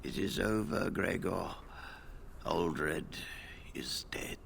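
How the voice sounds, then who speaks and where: An actor playing a weary, dignified elderly male mage leader in a video game, speaking in a hushed, gravelly voice. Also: low-pitched, expressive, slow, slight background noise, heavily acted. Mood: grumbling. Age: older. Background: game music.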